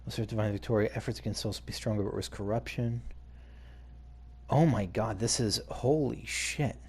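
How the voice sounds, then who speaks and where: A man's voice: lowish voice, moderate pace, darkish tone, husky. A man giving casual video game playthrough commentary, hesitantly thinking aloud as he goes. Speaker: gaming video host.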